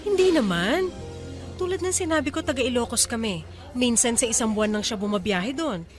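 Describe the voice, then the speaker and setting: A woman's voice: fairly high pitch, lively intonation, a little fast, faint music behind, lightly acted. A woman playing a meek, earnest young provincial mistress and single mother in a Filipino radio drama. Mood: meek, defensive.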